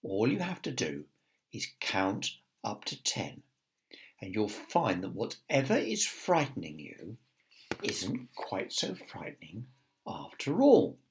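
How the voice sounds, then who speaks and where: A storyteller voicing a kindly male tramp in a children's storybook who speaks gently and soothingly. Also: mid-range voice, huge pitch swings, slow pace, heavily acted. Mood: gentle.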